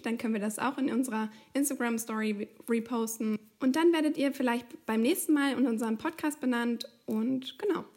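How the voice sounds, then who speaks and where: A woman's voice: on the high side, a little mellow. A woman co-hosting a podcast, wrapping up with chatty calls to action for listeners. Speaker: podcast co-host. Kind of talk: podcast outro.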